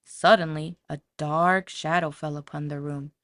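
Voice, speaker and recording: A woman narrating a children's story from a script in a dramatic, expressive storytelling manner. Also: sits low, a little slow, no room sound. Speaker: audiobook narrator.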